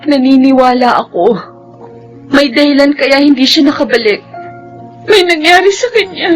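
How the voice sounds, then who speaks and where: An actress playing a devoted young wife and daughter, tender and pleading, in a Filipino radio drama. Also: female voice, sits high, moderate pace, soft background music, lightly acted. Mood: tearful, worried.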